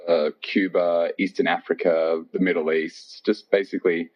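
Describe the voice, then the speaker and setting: Low-voiced husky young man, tour guide. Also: normal speed. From Australia. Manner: relaxed, chatty, many fillers and "like". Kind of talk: casual interview chat. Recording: dull lo-fi recording.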